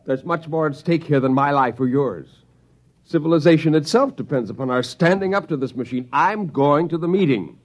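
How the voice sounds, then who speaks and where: A man's voice: fairly high pitch, normal speed, close to an everyday voice. A man playing an anxious, earnest college professor in a radio drama, with an urgent, educated, formal manner. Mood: grave, resolute. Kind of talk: 1950s radio drama acting. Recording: muffled old radio, roomy echo.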